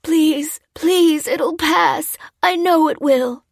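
A frail, frightened old man, acted by a woman in an audiobook. The voice is raised high and pleading, with a spluttering stammer. The recording is close and dry. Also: very slow, gravelly, dry recording, full character voice. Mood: pleading, terrified. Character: frail, sick, terrified elderly man, pleading.

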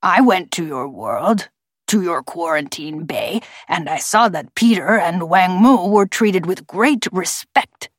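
A woman voicing a stern, gravelly male raven. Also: lowish voice, animated, slow pace, no echo, clean studio sound. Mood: lecturing, stern. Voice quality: forced, gravelly. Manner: formal, accusing, imperious. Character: stern, proud, distrustful male talking raven. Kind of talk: audiobook character voice.

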